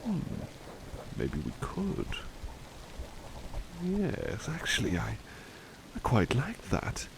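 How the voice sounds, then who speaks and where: A man's voice: on the high side, wildly swooping pitch, very slow, long pauses, heavily acted. A man playing a devoted, mild-mannered butler in an ASMR roleplay, speaking politely and formally, with hesitant, musing phrasing. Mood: pleased, bashful. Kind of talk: ASMR roleplay, personal attention. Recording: clearly noisy ambience behind voice.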